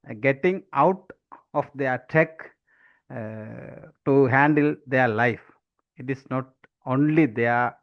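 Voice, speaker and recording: A Sri man in his forties giving a deliberate, halting impromptu speech with many fillers. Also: medium pitch. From Sri Lanka. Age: 40s. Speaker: impromptu speaker. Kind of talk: impromptu table topics speech. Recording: dull lo-fi audio.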